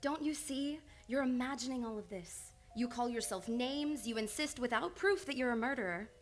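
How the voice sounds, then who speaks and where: A woman's voice: fairly high pitch, moderate pace, natural voice. A woman playing a composed, earnest psychoanalyst in an old-time radio play, probing and insistent with a patient she cares for. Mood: urgent, reasoning. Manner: insistent, probing, clinical yet affectionate. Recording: live radio play with background noise.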